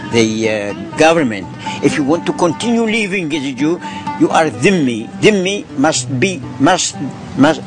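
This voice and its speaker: A man explaining in an interview, emphatic and halting, often repeating his words. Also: medium pitch. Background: music.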